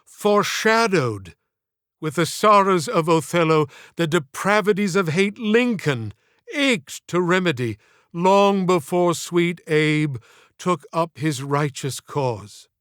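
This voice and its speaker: A man's voice: on the high side, expressive, slow pace, a bit bright, clear, resonant tone, no room sound, studio quality. A man voicing an ailing middle-aged stage actor in an audiobook, speaking in an ornate, reflective, wistful way. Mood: sorrowful, declaiming. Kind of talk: audiobook character dialogue.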